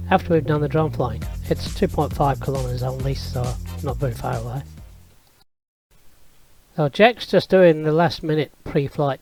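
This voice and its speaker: Croaky-voiced man narrating a road trip. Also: fairly high pitch, a little mellow. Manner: rambling, easygoing, with fillers. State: hoarse, croaky from illness. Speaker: vlog host. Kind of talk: casual travel vlog narration.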